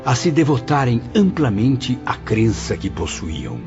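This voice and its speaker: A man with a Brazilian accent playing an earnest, remorseful spirit in a dramatized audiobook, speaking in solemn, formal, measured diction. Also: medium pitch, big pitch swings. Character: earnest, remorseful male spirit recounting his mission of consolation. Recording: dull muffled audio under loud music.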